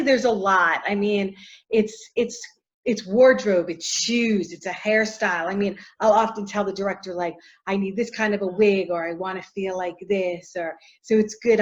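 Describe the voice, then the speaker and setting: A middle-aged actress answering interview questions in a casual, chatty way, full of fillers and often trailing off softly. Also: female voice, mid-range voice, normal speed, highs cut off.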